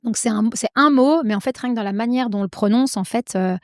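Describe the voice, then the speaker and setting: Une femme belge à l'belge, autrice invitée, qui parle de façon hésitante avec beaucoup de « euh » et de « en fait ». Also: female voice, fairly high pitch, fast, dry recording, clean studio sound. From Belgium. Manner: hesitant, many fillers and restarts. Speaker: guest author. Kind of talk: casual interview conversation.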